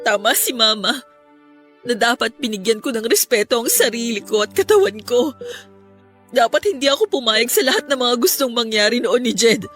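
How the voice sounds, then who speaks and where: A woman's voice: on the high side, expressive, normal speed, a bit bright. An actress with a Filipino accent playing a distraught, remorseful young woman in a radio drama, pleading over music. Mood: dazed, regretful. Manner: pleading, tearful delivery.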